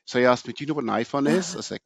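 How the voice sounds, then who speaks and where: A middle-aged man from Austria, a podcast guest telling his career story in a relaxed way, with many fillers and restarts. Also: mid-range voice, rapid-fire, vocal fry, no echo, studio quality. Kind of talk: casual interview storytelling.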